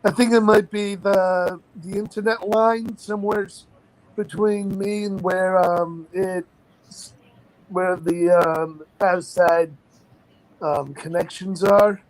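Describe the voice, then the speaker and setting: A man with a slightly raspy voice hosting a casual group chat, talking in a halting way with many ums, heard over a phone line that crackles. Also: high-pitched, fairly even intonation. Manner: chatty, halting with frequent ums.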